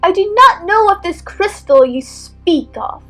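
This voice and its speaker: A woman voicing a menacing, coolly scornful mare villain with slow, formal phrasing in an animated-pony story. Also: very high-pitched, faint music behind, voice pushed up, exaggerated voice. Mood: cold, haughty.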